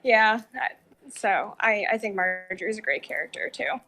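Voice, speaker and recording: A woman's voice: medium pitch, normal speed, bright, forceful tone. A woman giving reflective, analytical opinions in a casual discussion, feeling her way through her phrasing. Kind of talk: casual, thoughtful discussion of a TV series.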